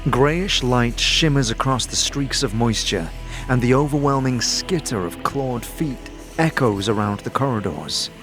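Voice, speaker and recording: A man narrating a fantasy audio drama in the second person, with vivid, suspenseful storytelling. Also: mid-range voice.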